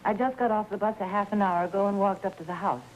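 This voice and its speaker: A woman's voice: mid-range voice, fast, close to an everyday voice. Woman acting a housemaid, noisy vintage TV drama. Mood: anxious. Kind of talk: 1950s television crime drama acting. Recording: noisy 1950s TV soundtrack.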